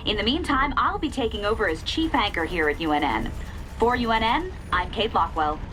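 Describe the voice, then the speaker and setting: An actress playing a polished, perky, ambitious TV news anchor in a video game's parody broadcast. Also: female voice, mid-range voice, expressive, brisk, a bit bright, a little hiss or hum, natural voice. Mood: upbeat.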